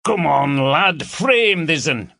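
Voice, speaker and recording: A man's voice: on the high side, big pitch swings, slow, vocal fry, bright, forceful tone, dry recording, voice pushed up, exaggerated voice. A man voicing a jovial, teasing middle-aged Yorkshire police chief with a broad, bantering manner. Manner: hearty, bantering, broad dialect. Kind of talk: audiobook character voice.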